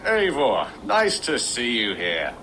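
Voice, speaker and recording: Whimsical, swooping talking elk spirit. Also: male voice, mid-range voice, huge pitch swings, slow pace, sharp tone, a little hiss or hum, full character voice. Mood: breezy, inviting. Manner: playful, sing-song, rhetorical. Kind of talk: video game acting.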